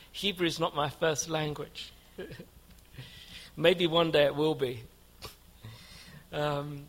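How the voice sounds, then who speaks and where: A man preaching a sermon in a church, earnest and measured, rising to fervent prayer. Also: a little high, bright, forceful tone. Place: church building.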